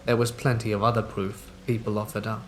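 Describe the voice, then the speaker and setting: A man narrating listener-submitted true scary stories in a steady, even reading. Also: on the low side, a little fast, a little hiss or hum. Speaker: horror story narrator.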